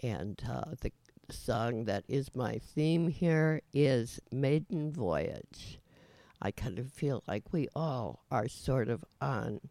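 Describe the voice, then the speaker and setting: A man hosting a radio show in a rambling, casual monologue, with a raspy voice, chuckling often and trailing off into fillers and restarts. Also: mid-range voice, expressive, slow pace, mellow, no room sound.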